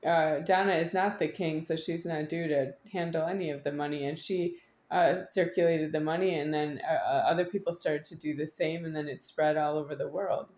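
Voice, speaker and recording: A woman speaks in a somewhat low register, with the room echoing around her. She delivers an unscripted, wandering monologue to an audience. Her phrasing halts and stretches, then circles back in insistent repetition. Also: steady tone, normal speed, few pauses, a little mellow, a little hiss or hum. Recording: echoey room.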